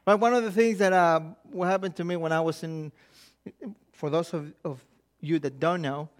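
A middle-aged man from Mexico with a Mexican accent, preaching a sermon and telling stories with lively quoted inner voices and frequent restarts. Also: on the high side, moderate pace. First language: Spanish. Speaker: pastor.

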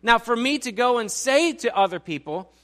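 High-voiced man in his forties preaching. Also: animated, normal speed, fairly bright tone. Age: 40s. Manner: conversational, joking, quizzes the congregation. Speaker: pastor. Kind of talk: sermon. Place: church auditorium.

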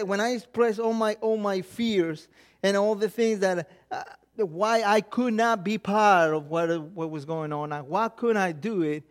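A middle-aged man from Mexico with a Mexican accent, preaching a sermon and telling stories with lively quoted inner voices and frequent restarts. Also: high-pitched. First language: Spanish. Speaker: pastor.

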